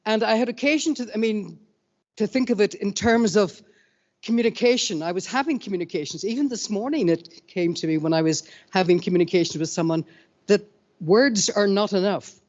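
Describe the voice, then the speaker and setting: A woman's voice: medium pitch, brisk, darkish tone. Reflective woman moderating a panel. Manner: reflective, hesitant, with many fillers. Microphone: handheld microphone. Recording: dull lo-fi sound.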